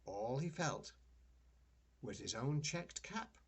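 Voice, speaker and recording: Grandfather storyteller: older man, husky edge, widely varied intonation, expressive and deliberate read-aloud for young children. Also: mid-range voice.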